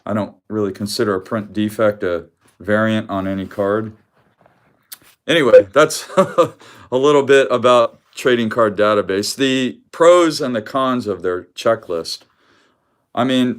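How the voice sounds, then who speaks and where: Loud, rambling man on a casual live stream. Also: on the low side, measured pace. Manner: loud voice that carries, laughs often, rambling with restarts. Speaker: card collector hosting a live stream. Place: home room with weak Wi-Fi.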